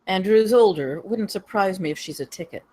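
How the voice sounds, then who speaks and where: A woman's voice: mid-range voice, moderate pace, mellow, slightly rough, lightly acted. A woman playing a knowing, easygoing married woman in a screenplay table read. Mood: knowing, matter-of-fact.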